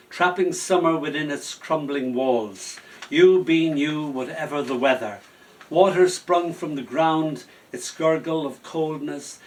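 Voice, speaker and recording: A man performing spoken word poetry, telling stories between poems in a slow, lyrical delivery that drops to soft whispers. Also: a little high. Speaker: poet performing his poems. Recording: voice from a distance off the mic.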